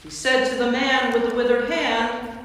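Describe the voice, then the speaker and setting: A woman giving a measured, storytelling narration in a staged scripture reading. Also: fairly high pitch, little pitch movement, a bit bright. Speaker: narrator, Episcopal priest. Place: large church interior. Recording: very echoey church, distant mic.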